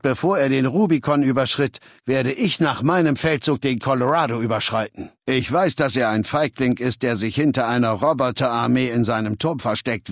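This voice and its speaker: A man voicing a cold, imperious warlord for a video game, speaking in a measured, self-assured, lecturing way. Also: medium pitch, vocal fry, no room sound, studio quality. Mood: proud, contemptuous. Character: imperious, cold male warlord ruling a slave-taking legion. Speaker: game character voice. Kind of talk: German video game dialogue.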